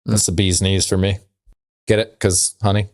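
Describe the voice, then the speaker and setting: Male podcast co-host, lower register, wry and easygoing, casual joke-telling chat. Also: flat delivery, normal speed, darkish tone.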